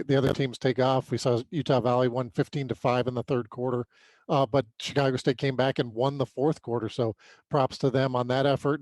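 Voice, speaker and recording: American man recapping sports, halting delivery. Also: mid-range voice, a little mellow, no room sound. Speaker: sports podcast co-host. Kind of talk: unscripted sports recap commentary.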